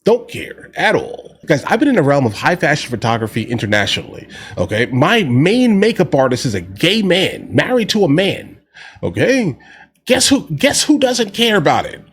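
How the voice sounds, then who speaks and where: A man's voice: fairly high pitch, sing-song, moderate pace. A man giving casual, slangy political commentary as host of a reaction video, talking directly to his viewers. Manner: informal slangy talk, often addressing listeners as guys.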